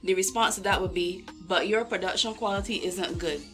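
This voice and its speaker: A mid-pitched woman hosts an interview. She listens closely and murmurs agreement often, and faint music runs softly behind her voice. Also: a little fast. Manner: attentive, frequent murmured agreement. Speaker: host. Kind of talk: interview hosting.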